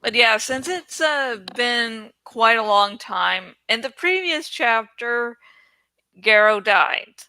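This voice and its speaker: A woman's voice: on the high side, lively intonation, takes it slowly, bright, forceful tone, no room sound, low-quality recording. A woman host chatting in a rambling, informal, giggly way about a book, swearing freely. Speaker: host of a book read-along channel.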